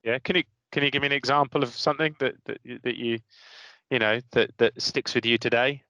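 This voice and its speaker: Male interview host, mid-pitch, frames questions with repeats, dry close sound. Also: fairly even intonation, a little fast, pushed and bright, dry recording, rough recording.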